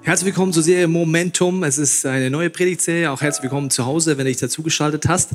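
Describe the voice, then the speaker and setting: A man in his late thirties preaching a lively, joking sermon to a live audience. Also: fairly high pitch, fast talker, faint music behind. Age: 30s. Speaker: pastor. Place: church hall before a live audience.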